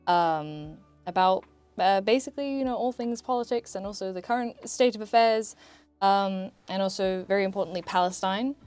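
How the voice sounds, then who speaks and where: A woman's voice: mid-range voice, darkish tone. A woman hosting a livestream chat in an informal, slightly hesitant way. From South Africa. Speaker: Twitch streamer, host.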